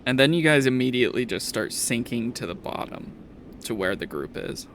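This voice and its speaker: A man narrates a tabletop game as its master. His voice is mid-pitched and creaky, his delivery casual. Faint noise sits in the recording. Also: expressive, moderate pace, a bit bright.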